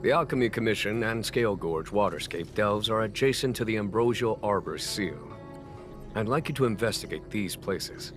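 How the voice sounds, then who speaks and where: An actor as a low-voiced, measured army general. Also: male voice, expressive, lightly acted. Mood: solemn storytelling. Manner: measured, formal, courteous. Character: calm, wry military general of a spacefaring fleet. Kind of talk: scripted video game dialogue. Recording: background music under the voice.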